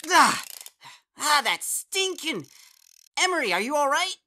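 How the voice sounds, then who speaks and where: A woman's voice: high voice, very animated, measured pace, sharp tone, a little hiss or hum, full character voice. A woman voicing a sarcastic, fiercely loyal little male guardian creature in a cartoon, snappy and incredulous. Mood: suspicious, annoyed. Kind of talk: animated web series dialogue.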